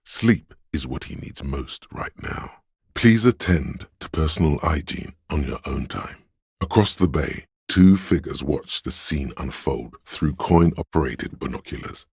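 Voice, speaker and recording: An actor voicing a grave, watchful, wry inner voice of police loyalty in a video game, with a gravelly, husky bass and measured, solemn storytelling. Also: male voice, lively intonation, a little mellow, dry recording, studio quality, heavily acted. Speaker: game narrator. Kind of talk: scripted literary narration.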